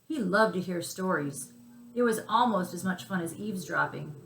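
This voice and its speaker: A woman reading a children's story aloud as narrator, with expressive scripted delivery. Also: lowish voice, moderate pace, creaky. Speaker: story reader. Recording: roomy echo, faint background noise.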